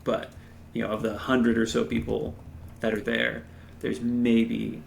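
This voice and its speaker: Player, thirties, heavy vocal fry, wry and digressive, faint room hiss. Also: male voice, medium pitch, monotone, a little slow. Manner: self-deprecating, digresses mid-sentence. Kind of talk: casual chat between friends.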